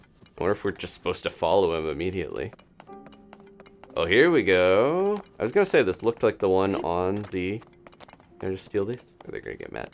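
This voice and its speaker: A man's voice: sits low, sing-song, a little fast, soft background music. A man chatting casually through a live-streamed game, wondering aloud and laughing often. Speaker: streamer playing a video game.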